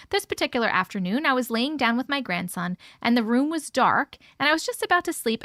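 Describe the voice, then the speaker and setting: A woman's voice: fairly high pitch, fairly bright tone, dry recording, studio quality. A woman hosting a live stream from home, chatting effusively with viewers and reading their stories aloud. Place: at home with her cat.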